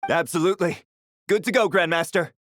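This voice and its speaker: A man's voice: high-pitched, brisk, a bit bright, dry recording. A man voicing a dutiful knight in a video game, reporting in an earnest, businesslike way. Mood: ready. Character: dutiful male knight reporting to his commander. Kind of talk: English video game voice acting.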